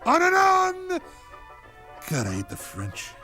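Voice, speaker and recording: An actor playing a pompous, affected man-about-town in a theatrical comic monologue with dramatic pauses. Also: very high voice, huge pitch swings, over loud music, heavily acted. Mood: affronted, melodramatic.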